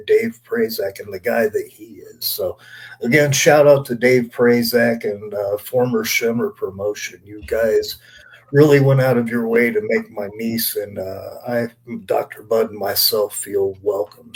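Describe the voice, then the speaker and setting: Guy on a podcast panel just talking casually, swears a lot, mid-pitched voice. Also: poor audio. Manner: profane, colloquial.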